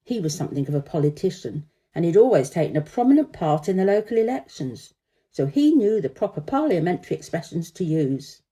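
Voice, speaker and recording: A woman reads a children's story with expressive narration. Her tone is very soft and mellow, and her pitch moves in lively sweeps. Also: a little fast.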